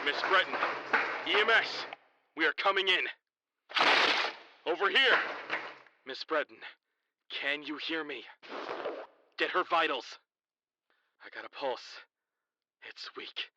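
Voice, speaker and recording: A man's voice: fairly high pitch, slow pace, pauses often, pushed and bright, slight background noise, heavily acted, poor audio. A man playing a commanding paramedic. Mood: urgent. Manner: clipped, commanding. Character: urgent male paramedic team lead. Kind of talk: audio drama acting.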